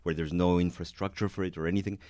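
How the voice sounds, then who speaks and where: Deep-voiced man, hesitant and chatty. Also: steady tone, fast talker, dark tone, no room sound, studio quality. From Venezuela. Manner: hesitant, many restarts and fillers, chuckles. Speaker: concert pianist, guest. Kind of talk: radio interview answers.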